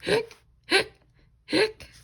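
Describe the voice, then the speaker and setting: A woman voicing a helpless, startled storybook skeleton in short, repeated squeaky hiccups. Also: drawn-out words, bright, forceful tone. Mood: startled, hiccuping. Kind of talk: picture book read-aloud.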